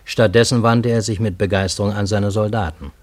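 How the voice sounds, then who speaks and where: A lowish, measured voice of a male actor narrates a radio play in the first person. The storyteller is ironic and bewildered, with literary phrasing and a close, dry sound. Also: fairly even intonation, darkish tone, no echo, lightly acted. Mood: wondering, ironic.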